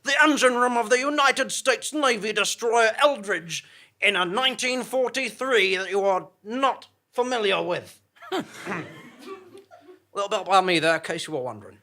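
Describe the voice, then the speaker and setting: A man's voice: sits high, lively intonation, moderate pace, sharp tone, heavily acted. A man playing a chatty, theatrical rebel guide from another dimension in a comic radio play, mock-portentous with casual asides. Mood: grandly theatrical.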